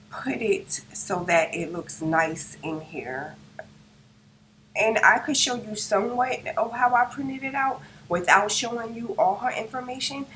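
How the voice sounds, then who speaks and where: A hoarse woman narrating a step-by-step design tutorial, describing each click as she works and checking in with the viewer. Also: mid-range voice, a bit bright, a little hiss or hum, rough recording. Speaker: crafting tutorial host. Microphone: no microphone, device's built-in mic.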